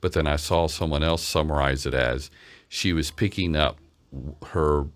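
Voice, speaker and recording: A man's voice: extremely low pitch, moderate pace. A man hosting an interview, putting questions conversationally with hesitant, tentative phrasing. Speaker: interviewer, host.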